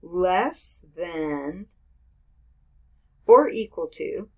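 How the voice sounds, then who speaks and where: A woman's voice: on the low side, lively intonation, extremely slow, creaky, a little mellow, husky. A woman in her fifties teaching math in a casual screen-recorded lecture, explaining with everyday examples and checking in with "okay" and "right". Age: 50s.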